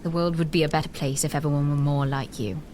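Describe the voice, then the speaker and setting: An actress playing a gracious, animated queen in an audio drama, speaking in formal, consoling phrases. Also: sits low, quick pace, background noise, natural voice. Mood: tender, consoling.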